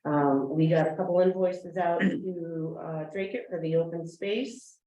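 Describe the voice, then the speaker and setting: A woman reads through a finance report at a meeting, halting often with fillers. She sounds set back from the microphone, and the room rings with echo. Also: fairly low pitch, mellow. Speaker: finance officer giving report. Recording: echoey room, far from mic.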